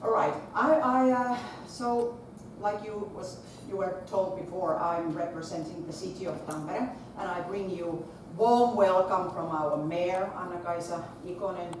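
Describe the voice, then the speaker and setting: A middle-aged woman with a Finnish accent, a school principal giving a talk in a large lecture hall without a microphone, projecting her voice in a lively, chatty way. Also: lowish voice, a little slow. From Finland. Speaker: conference speaker, school principal. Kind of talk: presentation to an audience. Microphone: far camera. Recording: echoey lecture hall from far off, noisy.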